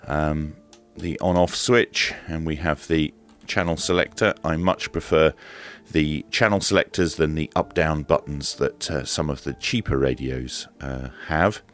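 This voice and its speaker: A man's voice: very deep voice, a little slow, fairly bright tone. A British man giving casual, informal hands-on commentary as he presents a product review. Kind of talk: casual unboxing and demonstration commentary.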